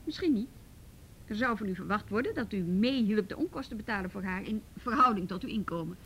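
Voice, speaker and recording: A woman's voice: medium pitch, expressive, brisk, a bit bright, a little hiss or hum, rough recording. An actress playing a frank, firm woman mediating in a radio drama, reasoning directly. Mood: firm, negotiating. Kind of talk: Dutch radio drama acting.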